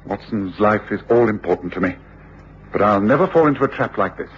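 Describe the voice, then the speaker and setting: An actor playing a shrewd, commanding gentleman detective with an English accent, precise and authoritative, in old radio drama. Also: lowish voice, animated, brisk, lightly acted. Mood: grave, urgent. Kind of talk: 1950s-60s radio drama acting. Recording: dull, noisy old radio drama recording.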